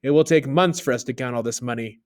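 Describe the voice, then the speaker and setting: A man's voice: medium pitch, fast talker, a little mellow, lightly acted. A man doing a gold-hungry rich husband. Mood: gloating.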